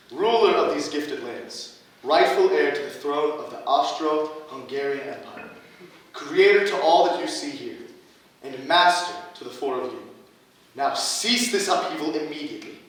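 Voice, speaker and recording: A pompous, ancient cyborg archmage acted by a man declaims in a stage drama with grand, villainous theatricality. The voice is fairly high for a man. It is heard from a distance in a very reverberant room. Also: expressive, a little slow, a bit bright. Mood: commanding, grandiose. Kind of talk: staged reading of a play. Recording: very echoey room, distant mic.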